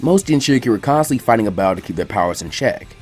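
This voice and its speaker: A man reading a story aloud as an animated narrator. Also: mid-range voice, racing, slightly rough, faint music behind. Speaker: fan fiction reader.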